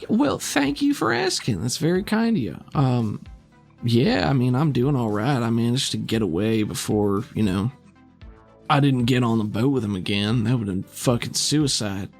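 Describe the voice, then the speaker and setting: A man voicing a gruff, streetwise invisible woman spy in a tabletop roleplay, talking in a wry, slangy drawl. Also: medium pitch, expressive, moderate pace, faint music behind. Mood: weary. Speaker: game master.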